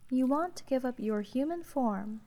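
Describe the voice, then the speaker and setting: A woman playing an aloof, skeptical sea witch in a soft, monotone fantasy ASMR roleplay, speaking in a dry, measured way. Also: high voice. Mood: skeptical, unimpressed.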